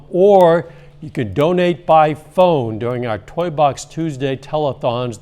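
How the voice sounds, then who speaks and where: A man reading a local evening newscast as anchor, in a steady, measured delivery with a slightly strained voice. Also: medium pitch. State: losing his voice, coughing at times.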